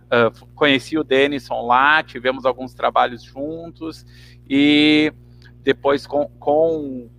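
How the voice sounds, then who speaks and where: A southern Brazilian man with a medium-pitched voice recounts his work casually. He speaks haltingly and thoughtfully, with a faint hum of noise on the recording. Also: a bit bright. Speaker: theatre director, interview guest. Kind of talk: casual personal account. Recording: clearly noisy, humming background.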